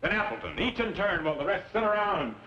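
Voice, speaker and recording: An actor playing a stubborn, resolute homesteader with folksy rural speech in an old-time radio western. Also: male voice, high-pitched, big pitch swings, quick pace. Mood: defiant, rallying. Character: stubborn, resolute married homesteader rallying his neighbors. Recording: muffled vintage radio recording, faint noise.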